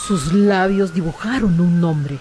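A woman's voice: lowish voice, a little slow, warm tone, a little hiss or hum. A woman narrating a scripted radio drama in a solemn, melodramatic manner.

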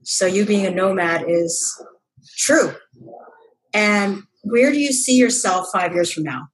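A woman's voice: medium pitch, slow, husky, rough recording. A woman co-hosting a podcast, interviewing in a conversational, encouraging and appreciative way. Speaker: podcast co-host.

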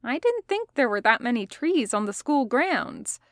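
A woman voicing a gentle, innocent schoolboy with a mild, earnest manner in an audiobook story. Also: on the high side, very animated, measured pace, dry recording, studio quality, voice pushed up.

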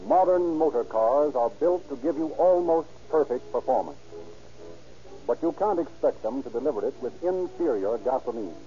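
Male radio announcer, 1930s ad read, very dark mellow tone, faint music, dull old recording. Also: mid-range voice, lively intonation, normal speed.

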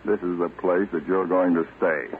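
An actor playing a stern, self-assured American government agent in a 1940s radio drama, speaking with deliberate authority. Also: male voice, deep voice, fairly even intonation, natural voice. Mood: triumphant, accusing. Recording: muffled, tinny, noisy old radio recording.

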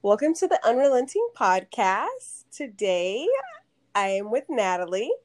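A woman's voice: medium pitch, big pitch swings, measured pace, music in the background. A woman hosting a podcast in a bubbly, casual way, often laughing. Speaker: podcast host.

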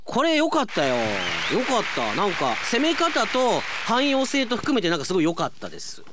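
A man's voice: sits high, sing-song, moderate pace, noisy background. A man hosting a radio segment, chatting and reading out listener mail as an announcer.

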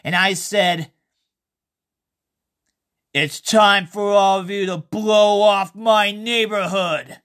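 A podcast host reading a horror story and promotional notes in a halting way, repeating phrases. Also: mid-range voice, fairly even intonation, fairly bright tone.

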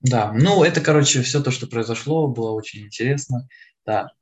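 A man's voice: mid-range voice, brisk, a little mellow. An eighteen-year-old man, co-hosting a podcast in a casual, conversational style. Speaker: podcast co-host.

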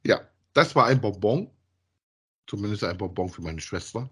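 A man's voice: fairly low pitch, animated, normal speed, pushed and bright, slightly rough. Casual male podcast co-host. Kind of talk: casual chat about audio drama voice actors.

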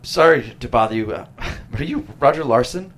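A starstruck young man played by a male actor in a sitcom read. He is polite and gushing, with a hesitant delivery at a middle pitch. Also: animated, normal speed, slight background noise, lightly acted. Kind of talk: table read of a sitcom script.

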